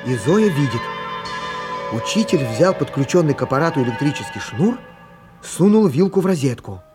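A man's voice: on the high side, big pitch swings, moderate pace, music in the background. A man reading scripted narration for a radio play as its storyteller.

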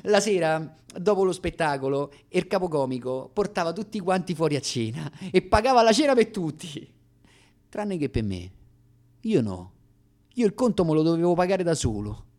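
A man's voice: on the high side, very animated, moderate pace, a little mellow. An actor playing a young aspiring comic from Rome, telling his story with a Roman accent in a dramatic, self-mocking radio monologue. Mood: plaintive.

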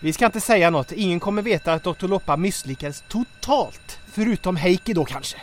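Cast a man as an upbeat resistance sidekick, high voice, chatty, radio-drama style. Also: expressive, soft background music. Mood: reassuring.

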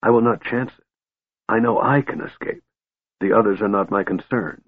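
A man's voice: fairly low pitch, no room sound, narrow, tinny audio, lightly acted. Man voicing a whispery, frail, menacing mage. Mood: cold, dismissive. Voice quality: whispery, shattered, soft voice. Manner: calm, soft, deliberate menace. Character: frail, cold, menacing male mage, bitter twin brother.

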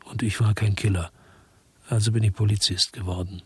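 A world-weary policeman narrating a noir radio drama. The voice is very husky and on the low side, recorded extremely dry and close. The delivery is brooding, understated and laconic, heavy with guilt. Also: fairly even intonation, brisk, no room sound. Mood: brooding regret. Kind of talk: radio drama first-person narration and dialogue.

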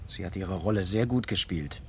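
An actor playing a polite, modest farmhand speaking deferentially in a naturalistic radio drama. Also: male voice, low-pitched, normal speed, darkish tone, a little hiss or hum, lightly acted. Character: polite, deferential male farmhand, young father.

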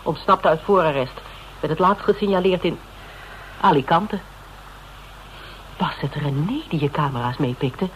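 Probing detective, slightly noisy recording. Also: male voice, fairly high pitch, measured pace, long pauses, fairly bright tone. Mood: probing, alert. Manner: methodical questioning, clipped phrases. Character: probing, level-headed detective piecing a case together. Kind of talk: Dutch radio drama acting. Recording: noisy old radio drama recording.

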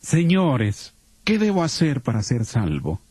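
An actor playing a humbled, earnest Roman jailer in a dramatized Bible. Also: male voice, medium pitch, huge pitch swings, measured pace, a little mellow, husky, no room sound, full character voice. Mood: desperate pleading.

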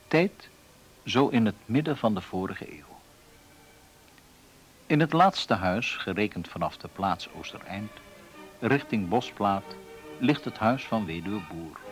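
A man with a fairly low voice narrates a radio play as a storyteller. His delivery is very slow and expressive, set over music. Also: breathy edge. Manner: storytelling, deliberate phrasing. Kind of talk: scripted radio drama narration.

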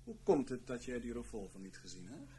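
A man's voice: medium pitch, big pitch swings, fairly quick, mellow, slight background noise, natural voice. A man acting in a Dutch radio play as a stern, sardonic intelligence chief, with clipped authority and dry sarcasm. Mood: stern, brisk. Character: stern, commanding spy chief, coolly sardonic official.

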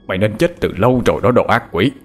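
A man's voice: on the low side, animated, fast, soft background music. A man voicing a bitter, spiteful male official who growls curses at his wife in a dramatic story. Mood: furious, vengeful.

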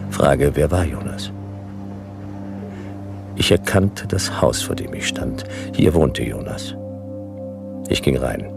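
An actor playing a laconic, world-weary, befuddled private detective, narrating a hard-boiled radio drama in clipped, deadpan sentences. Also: male voice, very deep voice, vocal fry, full character voice. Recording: music behind the voice.

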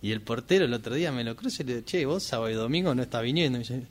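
A man's voice: mid-range voice, lively intonation, rapid-fire, lightly acted. A man with a Buenos Aires accent playing a talkative, evasive young man in amateur radio theatre, speaking colloquially. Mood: chatty, amused. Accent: Argentine, Buenos Aires. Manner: colloquial, chatty. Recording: clear background noise, amateur recording.

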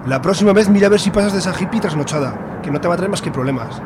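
A man's voice: sits high, expressive, racing. A man playing a snobbish, impatient middle-aged father in a radio serial, disdainful, with an accent from Spain. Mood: indignant. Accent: Spanish from Spain. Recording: noisy urban street outdoors with cars.

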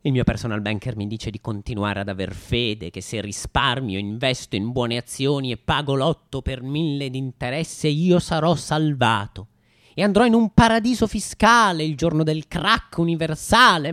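A man's voice: medium pitch, expressive, moderate pace, fairly bright tone. A man in his late twenties performing a satirical radio comedy monologue, theatrical and mock-nostalgic, with rhetorical questions and hesitant repetitions. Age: late 20s.

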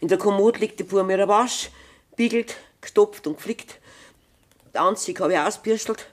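An actress with a Bavarian accent playing a worn-down farmer's wife in a 1970s radio play, bitter and weary. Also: female voice, medium pitch, moderate pace, close to an everyday voice. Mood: desperate.

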